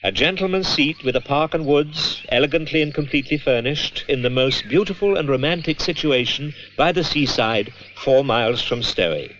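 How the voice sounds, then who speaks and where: An actor playing a Romantic poet reading his letters in a measured, reflective and self-mocking manner. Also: fairly high pitch, expressive, fairly bright tone. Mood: pleased, admiring. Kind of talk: dramatised literary reading of letters and verse. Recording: dull old 16mm film soundtrack.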